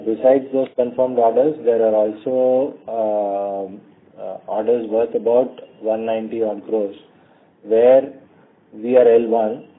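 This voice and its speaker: Indian guy, company exec on a conference call, lowish voice, lots of pauses and ums, tinny phone sound. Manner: halting, measured, hedging, frequent long hesitations. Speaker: company executive answering analysts. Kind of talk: earnings call question and answer.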